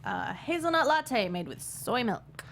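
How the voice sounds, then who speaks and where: A woman's voice: on the high side, sing-song, unhurried, vocal fry, fairly bright tone, exaggerated voice. Dry, sardonic teenage barista girl played by a woman. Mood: polite, awkward. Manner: dry, quippy. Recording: noticeable background noise.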